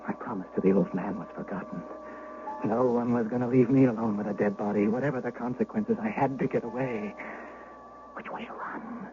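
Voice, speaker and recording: An actor playing a fearful witness who recounts a dark tale in suspenseful, literary 1970s radio narration. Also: male voice, lowish voice, fairly even intonation, a little fast, lightly acted. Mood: panicked, awed. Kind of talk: dramatic first-person radio narration, 1970s. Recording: muffled old radio recording, clear noise.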